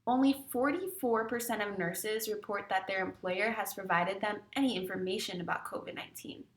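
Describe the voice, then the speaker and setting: A woman delivering a prepared public statement as a union spokesperson, formal and deliberate, now and then stumbling over a word. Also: medium pitch. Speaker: industrial hygienist and nurses' union spokesperson. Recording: echoey room sound.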